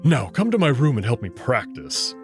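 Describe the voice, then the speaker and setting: A man's voice: medium pitch, huge pitch swings, moderate pace, soft background music. Man voicing a proud wolf-man, very dramatic intonation. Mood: assured. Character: proud, confident male wolf-man noble, playful but hot-tempered.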